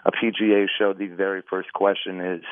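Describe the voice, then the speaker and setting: A hoarse man with a raspy voice, a golf company co-founder talking as an interview guest, hesitant with many restarts. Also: deep voice, little pitch movement, brisk, no echo. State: hoarse after three days of talking. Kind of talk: interview over a phone line. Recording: tinny dry phone line.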